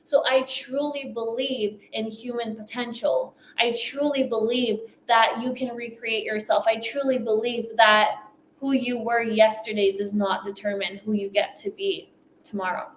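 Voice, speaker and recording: A woman's voice: a little high, fairly even intonation, measured pace, roomy sound, a little hiss or hum. A woman whose first language is French, speaking with a light French accent and giving an emphatic motivational talk at a summit, repeating phrases for effect. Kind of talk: motivational speech.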